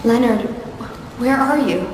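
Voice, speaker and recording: High-voiced, guarded teenage heroine. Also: female voice, slow, background noise. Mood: puzzled. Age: young adult. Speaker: video game voice actor. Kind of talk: dramatic video game acting.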